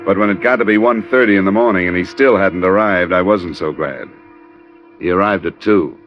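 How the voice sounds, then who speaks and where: A man plays a hardboiled American investigator from vintage radio noir, wry and easygoing. His lowish voice is clipped and dry, on a muffled old broadcast recording with music behind. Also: a little fast, lightly acted. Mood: dry, businesslike. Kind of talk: 1940s-50s radio noir acting and narration.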